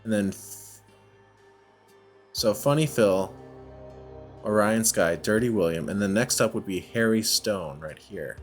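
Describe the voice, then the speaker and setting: Man, low voice, casual gameplay commentary, musing, self-questioning, music behind. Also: measured pace, long pauses. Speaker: let's play host. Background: background music.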